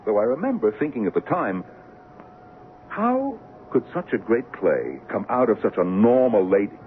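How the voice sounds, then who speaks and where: Casting: proud, exasperated producer, effusive and highly animated, old-time radio play, narrow hissy sound. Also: male voice, moderate pace. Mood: wry, wounded. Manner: emphatic, repetitive, effusive. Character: excitable, exasperated Broadway producer, proud and theatrical.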